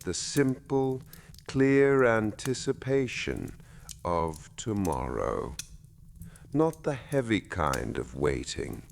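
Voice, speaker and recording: A man reading a bedtime story in a gentle, measured, soothing way with deliberate pauses. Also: lowish voice, sing-song, vocal fry, slight background noise. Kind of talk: slow soothing scripted narration.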